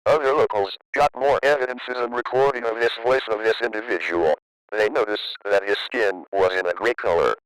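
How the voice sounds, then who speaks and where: Male announcer for emergency alert narration: formal, measured, crisp tone, dry recording. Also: on the low side, no room sound, rough recording. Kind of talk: scripted emergency broadcast narration.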